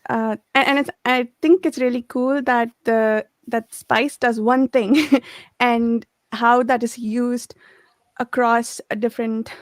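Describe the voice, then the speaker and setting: A woman with a somewhat high voice and little pitch movement talks casually in a book discussion. She pieces her thoughts together as she goes, recorded dry and close. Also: steady tone, takes it slowly, no echo.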